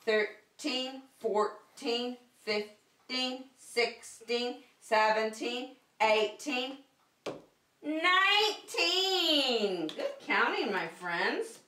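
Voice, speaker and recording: Female preschool circle-time teacher, bright and encouraging, simple repetitive phrasing, roomy distant-mic sound. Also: fairly high pitch, expressive, very slow, a little hiss or hum. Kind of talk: preschool lesson addressed to young children. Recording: roomy echo, distant microphone.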